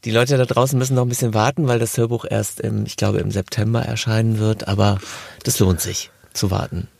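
A man's voice: fairly low pitch, steady tone, fairly quick, creaky, darkish tone, no room sound. A man hosting a podcast interview, putting courteous, conversational questions to his guest. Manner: courteous, formally addressing the guest.

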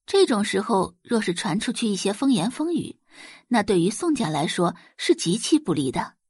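A woman reading scripted story narration as an expressive audiobook narrator. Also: medium pitch, normal speed, slightly rough, dry recording, clean studio sound.

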